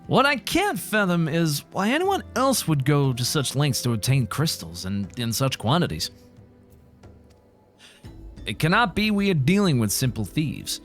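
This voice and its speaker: A man's voice: a little high, wildly swooping pitch, a bit bright, faint music behind, heavily acted. Man doing a wry, composed fantasy adventurer. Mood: pensive. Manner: measured, articulate, theatrical. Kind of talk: reading video game dialogue aloud.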